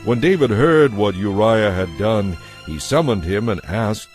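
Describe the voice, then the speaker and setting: A man narrating a dramatized audio Bible from a script, in a measured storytelling manner. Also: on the low side, expressive, a little mellow, soft background music.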